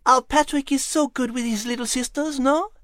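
Woman as a high-voiced petite cat. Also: fairly even intonation, normal speed, dry recording, clean studio sound, close to an everyday voice. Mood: warm, admiring. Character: petite female cat, elegant, affectionate, French-flavoured. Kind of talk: audiobook character voice.

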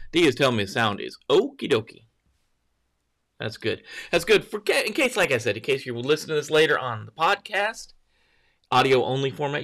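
A man's voice: a little high, gravelly, a bit bright. A man hosting a casual morning livestream, greeting viewers by name and rambling loosely while correcting himself. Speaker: livestream host.

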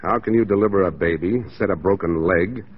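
An actor playing a blunt, self-assured mounted police sergeant in a 1940s radio drama. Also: male voice, deep voice, a little fast, husky. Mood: confident, teasing. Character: stiff, confident young mounted police sergeant, born soldier. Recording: dull 1940s radio recording.

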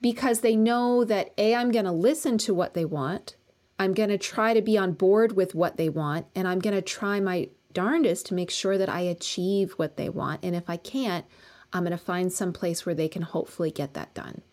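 A woman's voice: medium pitch, moderate pace, a little mellow. A woman acupuncturist who practices in Colorado, a guest speaking earnestly in long run-on stretches in a casual professional conversation.

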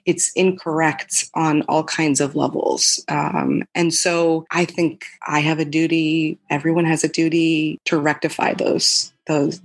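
Low-voiced woman guest, thoughtful. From United States. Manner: thoughtful, many restarts and "you know". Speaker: podcast guest. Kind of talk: reflective interview answers.

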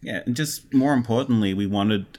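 A man's voice: mid-range voice, normal speed, darkish tone. A man talking casually as a guest in an interview. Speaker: podcast guest, audio drama co-creator.

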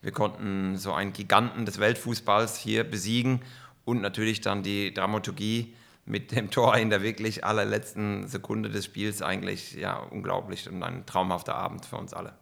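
A man's voice: fairly low pitch, little pitch movement, normal speed, gravelly, a bit bright. A middle-aged man from Germany, a football club executive, answering podcast questions with enthusiasm and frequent fillers.

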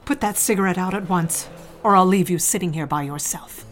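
A woman's voice: fairly low pitch, brisk, soft background music, natural voice. A woman playing a cold, disapproving older society mother in an audio drama, haughty and clipped in manner. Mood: scornful.

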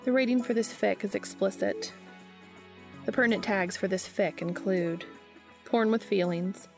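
A woman's voice: medium pitch, steady tone, normal speed, music in the background. A woman hosting a podcast, reading scripted introductions and fan fiction narration aloud. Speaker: podcast host and reader.

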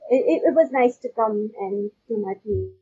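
A woman from Pakistan with a husky voice, answering interview questions conversationally in a halting way, repeating words as she goes. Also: a little high, warm tone. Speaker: guest, agricultural research scientist. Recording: dull, lo-fi audio.

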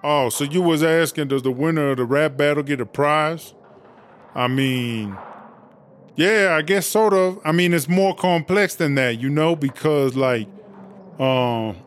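Man as a brash, high-pitched female inmate boss. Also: a little hiss or hum, close to an everyday voice. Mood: cocky. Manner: slangy, profane, rambling storytelling. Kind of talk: comedy audio drama monologue.

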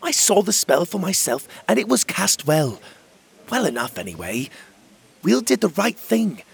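A man's voice: high-pitched, big pitch swings, moderate pace, creaky, slightly rough, pitched above the performer's own voice, full character voice. A man voicing a fiery, commanding female fairy leader in a raised, firm voice.

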